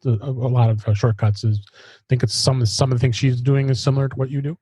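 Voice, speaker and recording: A man hosting a casual tech podcast, speaking haltingly and often repeating words. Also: medium pitch, flat delivery, dark tone, slightly rough, no room sound. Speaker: podcast host.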